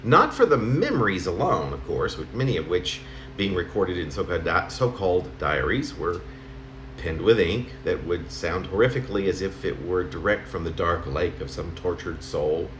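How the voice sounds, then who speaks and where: A middle-aged or older man reading his own letter-like poem aloud in a halting, conversational way, correcting himself as he goes. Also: deep voice, very animated, a bit bright. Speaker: poet reading own work. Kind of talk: spoken poem reading in the form of a personal letter. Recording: roomy home recording, faint noise.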